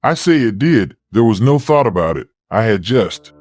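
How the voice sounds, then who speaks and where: A man's voice: lowish voice, big pitch swings, moderate pace, darkish tone. A man playing a stubborn but tender old husband and father in a dramatized audio story. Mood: insistent.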